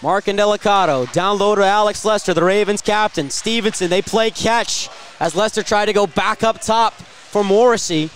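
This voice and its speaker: A man doing live radio play-by-play of ice hockey, describing each move and surging with excitement on big plays. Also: high voice, a little hiss or hum. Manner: rapid-fire descriptive calls with excited surges at big plays.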